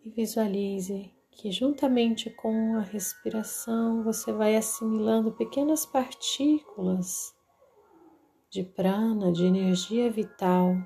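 A woman with a Brazilian accent narrating a guided meditation in a soothing, deliberate way, leaving long pauses. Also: fairly high pitch, steady tone, creaky, dark tone. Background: background music.

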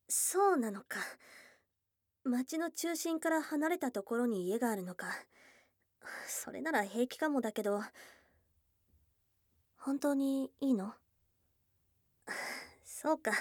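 A woman voicing a shy, affectionate young goblin girl in a Japanese ASMR voice drama, with casual tomboyish speech and a soft, hesitant delivery. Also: sits high, dry recording, clean studio sound. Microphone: close studio mic, dry.

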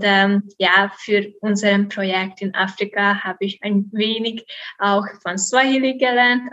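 A young woman in her twenties with a Hungarian accent, answering interview questions haltingly with many fillers. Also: medium pitch, dull audio. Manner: hesitant, many fillers, laughs softly. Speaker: guest, student.

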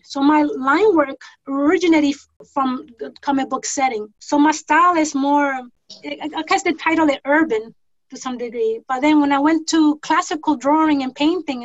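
High-voiced woman in her forties. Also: no room sound. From New York City. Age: 40s. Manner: thoughtful, rambling with pauses and restarts. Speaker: guest artist. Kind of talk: casual self-introduction. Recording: dull lo-fi sound.

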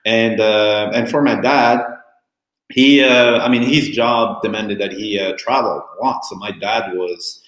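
Low-voiced man telling his story, roomy echo. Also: fairly even intonation, unhurried, a bit bright. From born in Cameroon, raised in Italy and France. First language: French and Italian. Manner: candid, rambling, frequent restarts and fillers. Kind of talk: casual personal storytelling.

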